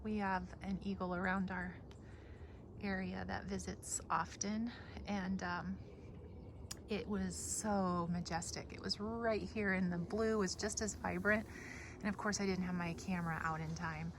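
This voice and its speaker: A woman's voice: mid-range voice, steady tone, unhurried. A woman hosting a casual garden vlog, chatting in a rambling, enthusiastic, gushing way as she walks around outdoors. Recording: noisy outdoor ambience.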